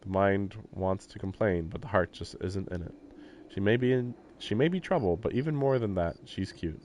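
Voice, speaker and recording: A man's voice: low voice, moderate pace, a little mellow, a little hiss or hum. A man live-streaming a visual novel, reading the text aloud and adding casual, wry, offhand comments. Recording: faint game music behind the voice. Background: game soundtrack.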